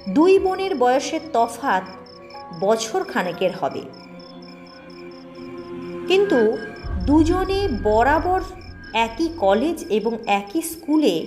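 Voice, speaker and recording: A woman reading a scripted first-person ghost story in a measured, expressive storytelling manner. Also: sits high, faint music behind. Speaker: audio story narrator.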